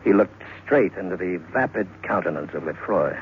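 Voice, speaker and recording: A man narrating a scripted horror story in old-time radio style, with suspenseful, deliberate phrasing. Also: low-pitched, expressive, muffled recording, slight background noise. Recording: thin, band-limited vintage radio recording with music.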